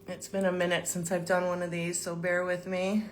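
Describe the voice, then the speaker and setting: A woman's voice: on the low side, monotone, normal speed, a little hiss or hum. A woman hosting a live online interview, chatty and upbeat. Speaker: host.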